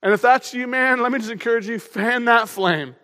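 A man preaching an energetic sermon as pastor, with a loud, emphatic delivery to a room full of people. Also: very high voice, fairly quick. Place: church auditorium. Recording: long hall reverb.

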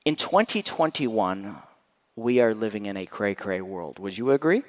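A man giving a candid sermon as guest preacher, swinging between loud emphatic bursts and quiet, intense lows. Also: animated, moderate pace. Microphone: handheld or stand mic. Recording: echoey church hall.

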